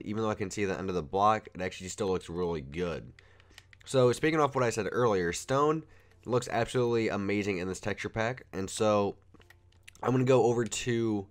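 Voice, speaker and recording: Easygoing young man with a cold. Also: fairly low pitch. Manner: rambling, filler-heavy, easygoing. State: has a cold. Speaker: Minecraft builder reviewing a texture pack. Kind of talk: casual gameplay commentary.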